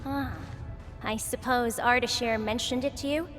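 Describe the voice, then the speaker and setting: A woman playing a composed, confident video game comrade who explains things in a measured way. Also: a little high, fairly even intonation, a bit bright, in a large hall, faint music behind, lightly acted. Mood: explanatory.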